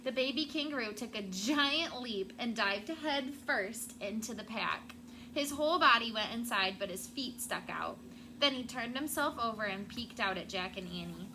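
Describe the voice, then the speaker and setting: A woman's voice: on the high side, lively intonation, moderate pace, bright, forceful tone, rough recording. A woman schoolteacher reading a storybook aloud to children. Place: classroom. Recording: clear background noise.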